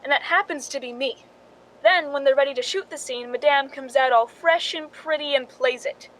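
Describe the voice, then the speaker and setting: Actress as a cool, high-voiced scheming film stand-in. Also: female voice, normal speed, fairly bright tone, slight background noise, rough recording. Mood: patient, matter-of-fact. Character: wry, cool young woman, film stand-in and scheming narrator. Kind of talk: radio drama acting with confessional narration.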